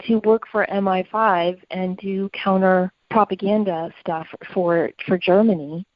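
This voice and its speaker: A woman's voice: mid-range voice, steady tone, unhurried, no room sound, highs cut off. A woman co-hosting a podcast, talking in an earnest, reflective and opinionated conversational way. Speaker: podcast co-host.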